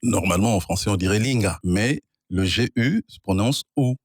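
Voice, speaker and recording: Homme à la voix grave, posé. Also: male voice, on the low side, lively intonation, no echo, clean studio sound. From Angola. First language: Kikongo. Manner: measured, professorial, spells out words. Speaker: guest, linguist and author. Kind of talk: explanatory interview answers.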